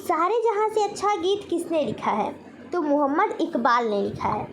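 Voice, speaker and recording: A woman teacher with an extremely high voice and an Indian accent runs through a quiz lesson for students. She poses questions and answers them herself, repeating key points. There is some faint noise in the recording. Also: lively intonation, normal speed. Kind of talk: general knowledge quiz lesson for exam students.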